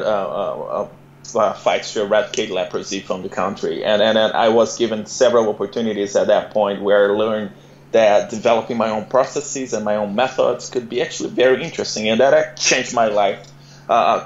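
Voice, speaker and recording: Young Brazilian man, low voice, halting speech, echoey dull recording. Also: a little hiss or hum. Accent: Brazilian Portuguese. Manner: hesitant, many fillers and repeated words. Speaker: guest. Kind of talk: casual interview.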